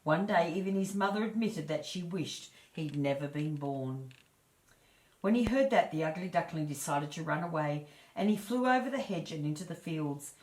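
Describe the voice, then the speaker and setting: Low-voiced woman storyteller in an echoey room. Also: lively intonation, normal speed, darkish tone. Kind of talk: reading a children's fairy tale aloud.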